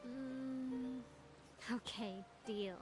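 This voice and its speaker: A woman voicing a pensive, guarded, gently teasing young female adventurer in an understated video game cutscene performance. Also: high-pitched, drawn-out words, over music. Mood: playful, guarded.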